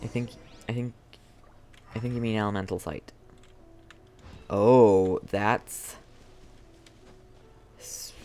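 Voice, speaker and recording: A young man streams a game with casual commentary. His voice is mid-pitched and conversational, with faint game music behind it. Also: clear, resonant tone. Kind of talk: casual play-along commentary.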